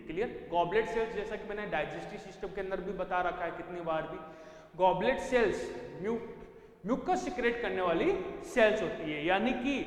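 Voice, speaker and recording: A man teaching biology who dictates notes slowly, repeats terms and asks questions he then answers. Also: sits high. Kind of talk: classroom lecture dictating notes. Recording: echoey, reverberant classroom.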